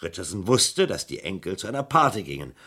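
An actor playing a suspicious, methodical police detective in a German crime radio play, speaking deliberately and with pointed emphasis. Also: male voice, deep voice, sing-song, sharp tone, husky, exaggerated voice. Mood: deducing, emphatic. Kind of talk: German crime radio play acting, mid to late 20th century.

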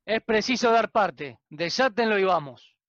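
Man acting a loud, high-pitched, scornful judge. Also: expressive, measured pace, no room sound, lo-fi sound. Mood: dismissive, commanding. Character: brutal, contemptuous male judge commanding his thugs. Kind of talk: radio drama acting.

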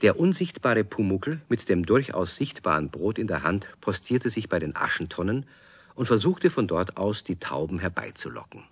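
A man playing the narrator of a children's radio play, telling the story in measured, articulate scripted sentences. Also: sits low, very animated, slightly rough, dry recording, heavily acted. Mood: calm narrating.

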